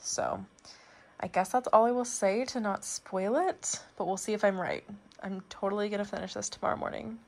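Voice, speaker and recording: Raspy chatty young woman vlogging at home. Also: medium pitch, moderate pace, a little mellow. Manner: chatty, rambling, lots of "like". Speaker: book vlogger. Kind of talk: casual vlog chat. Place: home, bedroom and kitchen.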